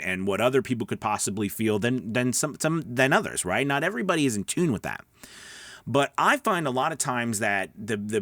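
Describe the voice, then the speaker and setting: A man with a medium-pitched voice gives casual instruction as a coach and host. His delivery is conversational and emphatic, with frequent little check-ins to the listener. The sound is dry, with very little room in it. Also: fairly bright tone, no room sound. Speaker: voice-over coach and video host. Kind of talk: casual instructional talk to followers.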